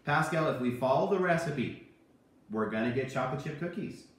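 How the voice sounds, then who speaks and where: A man's voice: medium pitch, animated, a little fast. A man in his thirties giving children an emphatic, repetitive Bible lesson in a kitchen. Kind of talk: kids' sermon with a puppet sidekick. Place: home kitchen, indoors. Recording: very echoey room, distant microphone.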